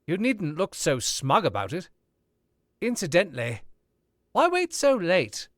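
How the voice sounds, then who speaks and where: A man voicing an urbane author who teases in a slow, lilting way, his pitch rising and falling widely. He is a radio-drama gentleman, polished and wry. The recording is close and dry. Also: wildly swooping pitch, no room sound, exaggerated voice. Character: suave witty older male author. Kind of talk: old-time radio drama acting.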